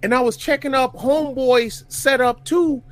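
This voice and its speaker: Fifties guy, high voice, rambly, keeps restarting sentences. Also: fairly even intonation, normal speed, a bit bright. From Detroit, USA. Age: 50s. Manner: rambling, searching for words, many restarts. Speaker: podcast co-host. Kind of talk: casual group banter.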